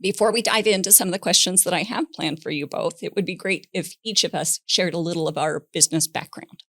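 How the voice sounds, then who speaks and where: A woman hosting a podcast interview, talking in a relaxed, conversational way with personal anecdotes. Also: on the low side, fairly quick, dry recording, clean studio sound. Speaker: podcast host.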